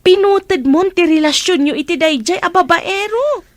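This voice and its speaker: A woman's voice: very high-pitched, normal speed, no echo, clean studio sound. An actress with a Filipino accent playing a blunt, exasperated young woman scolding her best friend in a radio drama.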